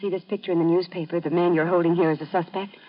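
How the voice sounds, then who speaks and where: A woman's voice: lowish voice, steady tone, racing, lightly acted. A woman playing an earnest, pleading wife. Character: desperate wife hunting her vanished husband. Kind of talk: 1950s radio drama acting. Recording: dull vintage radio broadcast.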